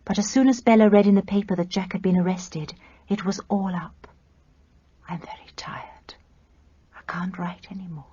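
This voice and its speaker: An actress voicing a weary young woman making a soft, confessional letter in a radio play. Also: mid-range voice, fairly even intonation, moderate pace, husky, muffled recording, natural voice.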